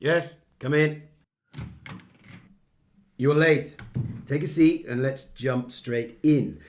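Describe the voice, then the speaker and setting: A man's voice: on the high side, drawn-out words, a little mellow. A man playing a jovial, patronising British Prime Minister chairing a meeting in a satirical radio play, with a British accent. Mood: brisk, wry.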